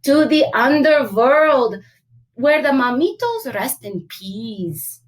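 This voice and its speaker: A woman's voice: sits high, big pitch swings, slow pace, exaggerated voice. A woman voicing small, cheeky male Chihuahuas in a storybook, playfully sprinkling in Spanish words. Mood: teasing.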